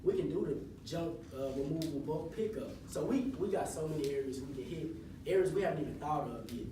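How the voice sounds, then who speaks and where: A man in his twenties giving a casual, encouraging motivational talk to high school students and leading them in chants. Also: a little fast. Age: 20s. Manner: casual, encouraging, leads call-and-response chants. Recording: echoey hall, voice from far off, audible room noise.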